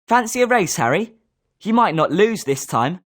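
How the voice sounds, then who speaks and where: A woman's voice: on the low side, big pitch swings, moderate pace. A woman with a British accent voicing a confident, sporting schoolboy wizard with a competitive streak in a video game. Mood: confident, challenging. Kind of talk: scripted video game character lines.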